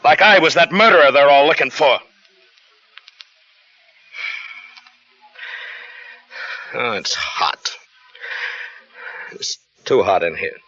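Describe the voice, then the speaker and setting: A brooding, touchy jobless husband. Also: male voice, medium pitch, sing-song, a little slow, pushed and bright. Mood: defensive. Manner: bitter, sullen, flaring up. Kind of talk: 1940s radio drama acting. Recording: dull old radio broadcast with faint hiss.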